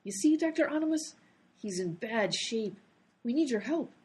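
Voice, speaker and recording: A woman's voice: a little high, expressive, normal speed, darkish tone, slightly rough. A woman playing an exasperated female character in a comic detective radio play. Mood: brisk, impatient. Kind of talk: comedy radio play acting.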